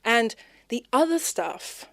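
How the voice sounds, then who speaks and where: Expressive woman from Zimbabwe, dry sound. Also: slow, creaky, no echo. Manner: expressive, speeds up and rises in pitch when animated. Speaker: podcast guest. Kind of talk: conversational interview.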